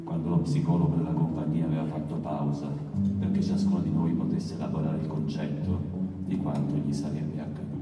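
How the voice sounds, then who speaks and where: A man giving a theatrical literary recitation of a first-person narrative, with deliberate phrasing. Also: a bass voice, little pitch movement. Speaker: author reading his own text at a poetry event. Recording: very echoey hall, distant, music underneath. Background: music.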